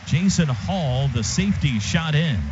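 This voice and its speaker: A high-pitched American man does football play-by-play in short, urgent phrases. The broadcast sound is dull, with loud stadium noise all around him. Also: a little slow. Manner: clipped phrases, building excitement as plays unfold. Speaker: radio play-by-play announcer. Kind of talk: live American football radio play-by-play commentary. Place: stadium radio booth. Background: loud outdoor stadium noise.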